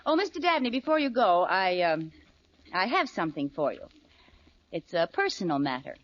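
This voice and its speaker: An actress playing a wry, easily flustered housewife in an old radio sitcom, with exclamatory comic timing, on an old recording. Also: female voice, lowish voice, moderate pace. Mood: friendly, coy. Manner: animated, exclamatory comic delivery. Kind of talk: 1940s-50s radio sitcom acting. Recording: muffled noisy old radio recording, studio audience.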